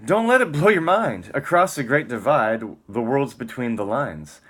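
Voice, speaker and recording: Man reading aloud with very lively, swinging intonation. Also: mid-range voice, moderate pace. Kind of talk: dramatic spoken-word reading of texts and lyrics with casual asides.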